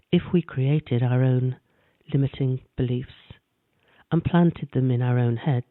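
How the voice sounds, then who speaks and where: Calm man guiding a meditation, tinny sound. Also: medium pitch, no room sound. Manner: calm, gentle, measured delivery. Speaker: meditation guide. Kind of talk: guided meditation narration. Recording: dry, tinny phone-like sound.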